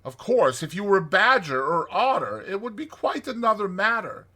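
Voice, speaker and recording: A man acts out a hearty male water rat from a children's storybook. He is capable and kind, explaining things in an emphatic, jaunty way. The voice is bright, a little husky and very animated. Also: high voice, normal speed, voice pushed up, full character voice. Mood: soothing, explanatory. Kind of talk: dramatized children's storybook reading.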